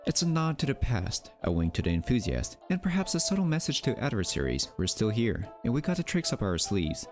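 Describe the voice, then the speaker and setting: A man's voice: medium pitch, big pitch swings, brisk, music in the background. A man delivering scripted documentary narration in a wry, theatrical manner, with rhetorical asides. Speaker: narrator of a mystery documentary channel.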